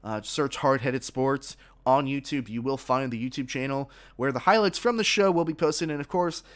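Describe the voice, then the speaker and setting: A man's voice: medium pitch, normal speed, a bit bright. Congested man hosting a sports podcast. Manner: opinionated, many restarts and fillers. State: slightly congested, frog in throat. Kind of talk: solo sports opinion podcast.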